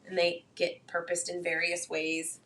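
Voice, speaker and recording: A woman co-hosting a card-making live stream, chatting in an animated, giggly way. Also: lowish voice, unhurried, far from the mic, slight background noise. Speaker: co-host.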